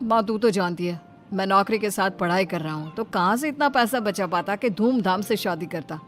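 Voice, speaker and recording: A woman's voice: mid-range voice, moderate pace, faint music behind, voice pushed down, close to an everyday voice. A woman voicing a practical thirty-year-old son in a cartoon family drama, explaining in a casual, mildly exasperated manner.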